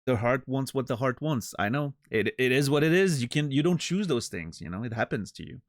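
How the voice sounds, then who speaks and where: A man's voice: mid-range voice, animated, a little fast, dry recording, studio quality. A man streaming and chatting casually with his viewers, joking and rambling with many restarts. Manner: rambling, self-interrupting, joking. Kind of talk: casual chat with live chat.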